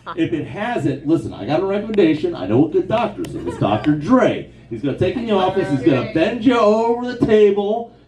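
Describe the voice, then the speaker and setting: A man in his fifties works a small bar crowd with a loose, rambling stand-up set, padding his lines with "man" and "bro." His pitch swings widely. The mic sits some way off in a roomy space, with laughter coming back at him. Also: sing-song, a little fast, far from the mic. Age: 50s. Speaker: stand-up comedian. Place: small kava bar comedy night. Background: audience laughter and heckles.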